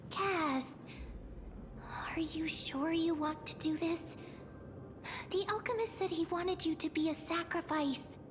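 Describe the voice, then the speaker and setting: Tiny, extremely high girlish sidekick. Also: takes it slowly, pauses often, background noise. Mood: worried. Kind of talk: animated video game voice acting.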